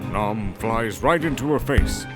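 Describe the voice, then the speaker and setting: A man's voice: mid-range voice, lively intonation, a little slow. A man narrating a scripted audio fantasy story in a dramatic storytelling style. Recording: music bed under the voice.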